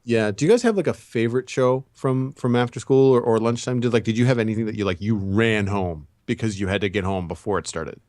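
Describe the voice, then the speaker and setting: A man's voice: lowish voice, brisk, hardly any pauses, darkish tone, no echo, studio quality. A man in his thirties co-hosting a podcast, explaining his views in casual conversation. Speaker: podcast co-host. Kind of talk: casual discussion.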